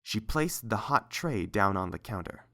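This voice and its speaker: A narrator reading a scripted story aloud as an audiobook, in a measured storytelling manner. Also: low-pitched, animated, sharp tone. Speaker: narrator and reader.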